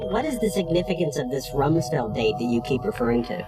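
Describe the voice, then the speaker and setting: A man's voice: a little high, very animated, brisk, over loud music. A man asking questions as the interviewer in an interview.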